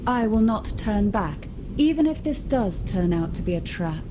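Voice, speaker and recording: A woman playing a composed, resolute noblewoman in a video game, formal and measured, guarded and coolly polite. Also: medium pitch, very animated, background noise, close to an everyday voice. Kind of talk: scripted video game voice acting.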